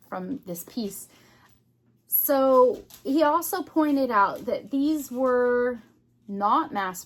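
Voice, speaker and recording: A woman giving an unscripted, casual hobby vlog, thinking aloud in short, halting phrases. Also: medium pitch. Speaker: craft vlogger.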